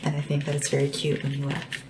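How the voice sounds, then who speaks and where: A small, caring assistant to a dragon ruler, acted by a woman in an ASMR roleplay. The voice is low and soft, often slipping into a whisper, with an unhurried, deferential manner. Clear noise and tapping sit behind the lo-fi recording. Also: monotone, natural voice. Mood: playful, caring. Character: small, devoted, gentle assistant to a dragon monarch. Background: tapping and background hiss.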